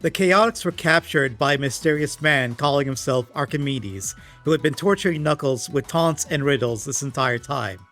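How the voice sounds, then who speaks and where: A man's voice: fairly high pitch, moderate pace, hardly any pauses, fairly bright tone. A man delivering scripted comedic commentary in a sarcastic, exasperated way with emphatic swings. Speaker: video essayist reviewing comics. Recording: music playing behind the voice. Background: background music.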